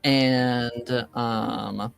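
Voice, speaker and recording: Slow-talking laid-back male game master. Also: mid-range voice, fairly even intonation, gravelly, smooth, no room sound, faint music behind. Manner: laid-back, lots of fillers and laughs, swears casually. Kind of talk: running a tabletop game, casual chat.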